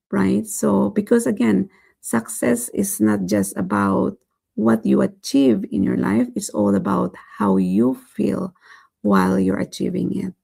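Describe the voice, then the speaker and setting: A woman vlogger giving a motivational talk to her viewers, earnest and repeating "right?", her voice going hoarse. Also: medium pitch, mellow. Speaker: vlogger hosting a daily challenge.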